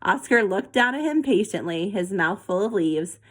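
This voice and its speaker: A woman reading a children's story aloud with an expressive, animated delivery. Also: mid-range voice, normal speed. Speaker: storybook reader.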